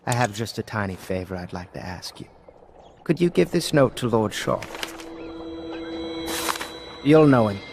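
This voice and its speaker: An actor playing a suave, flippant aristocrat in a video game, with a wry, genteel, languid manner. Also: male voice, fairly low pitch, animated, measured pace, pauses often, music in the background. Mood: wry, casual. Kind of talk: dramatic game cutscene dialogue. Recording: clearly noisy, ambient game sound.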